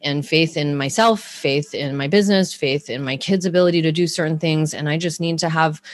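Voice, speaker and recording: A woman's voice: sits low, a little fast. A woman from Hawaii co-hosting a podcast, chatting casually in a rambling way, often restarting and laughing. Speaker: podcast co-host. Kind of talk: casual chat.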